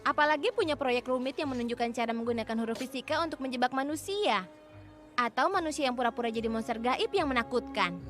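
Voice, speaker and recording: Seorang wanita menyulihsuarakan gadis detektif pintar yang serius dan banyak bicara dalam kartun. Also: female voice, sits high, quick pace, music in the background, lightly acted. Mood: keen. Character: brainy young woman sleuth, earnest and wordy. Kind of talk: cartoon dub.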